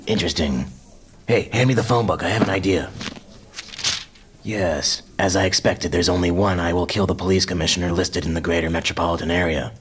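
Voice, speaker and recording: Man playing a pompous low-voiced masked crime fighter. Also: fairly quick, a little hiss or hum, natural voice. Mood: earnest, scheming. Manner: pompous, theatrical. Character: self-important bumbling male crime fighter posing as a mysterious specter. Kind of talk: comic superhero radio drama acting.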